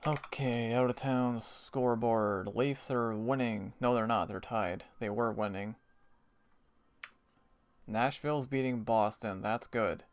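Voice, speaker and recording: A man's voice: medium pitch, slow pace, clear, resonant tone. A Canadian man hosting a live hockey watch party, chatting casually, explaining the game and chuckling often. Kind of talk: casual live-stream chat.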